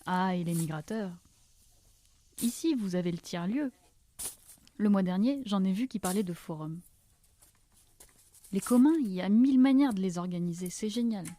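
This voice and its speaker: A woman's voice: medium pitch, expressive, moderate pace, long pauses, dark tone, natural voice. A woman playing an enthusiastic nomadic seed-bearer, chatty and full of marvel, in an audio drama. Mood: enthusiastic, marvelling. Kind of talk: fiction podcast dialogue.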